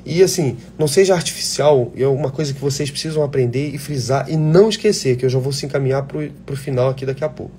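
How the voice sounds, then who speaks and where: Actor guy giving blunt fired-up advice on a live, mellow voice, faint hiss behind. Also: mid-range voice, fast talker, few pauses. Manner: blunt, swears freely, passionate. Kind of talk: casual live chat answering viewer questions.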